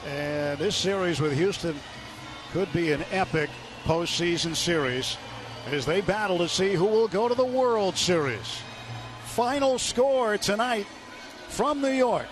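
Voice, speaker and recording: A man's voice: high-pitched, expressive, unhurried, over music. A man working as a radio play-by-play announcer, giving live baseball commentary from a stadium booth.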